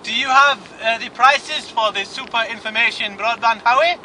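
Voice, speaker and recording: A man's voice: very high-pitched, moderate pace, bright, forceful tone, slight background noise, heavily acted. A man putting on a dim, confused caller for a prank phone call, rambling and feigning misunderstanding. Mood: bumbling. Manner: bumbling, absurd, feigned confusion. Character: dim foreign-sounding male caller Ravosh.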